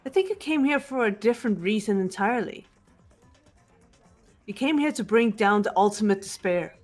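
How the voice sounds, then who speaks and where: A woman voicing a cool, composed teenage girl detective in a video game, measured, serious and earnest. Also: mid-range voice, expressive, soft background music, pitched below the performer's own voice, natural voice. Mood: solemn, encouraging. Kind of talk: fan voice acting of a video game script.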